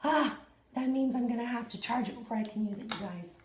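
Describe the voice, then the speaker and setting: A woman in her thirties, hoarse and husky after losing her voice, chatting excitedly to viewers in a casual unboxing vlog at home. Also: a little high, fairly quick, reverberant, a little hiss or hum. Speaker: fitness vlogger. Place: small indoor room at home.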